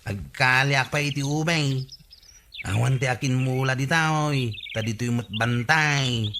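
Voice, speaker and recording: A man acting a chatty, scheming rural villager in a radio comedy, with an Ilocano accent. His voice sits at a middling pitch. The track is noisy, with birds chirping behind him. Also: a little slow, close to an everyday voice. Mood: sly, matter-of-fact.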